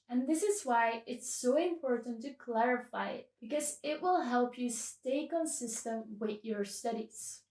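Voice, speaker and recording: A woman's voice: on the high side, darkish tone, recorded from a distance. Dutch-native woman teaching, roomy sound. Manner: encouraging, direct, asks the viewer questions. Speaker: Dutch language teacher, channel host. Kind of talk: online language lesson, addressing viewers. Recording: roomy, voice a bit off-mic.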